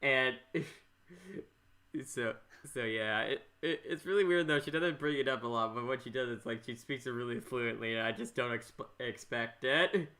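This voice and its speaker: Stammering man with a bright, forceful voice. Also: mid-range voice, normal speed. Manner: stammering, repeats phrase starts. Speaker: podcast host. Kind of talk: casual interview chat.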